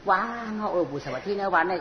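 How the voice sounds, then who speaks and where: A storyteller telling a comic folk tale in an animated way, often repeating short phrases. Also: medium pitch, fast talker. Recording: muffled, noisy recording in a reverberant space.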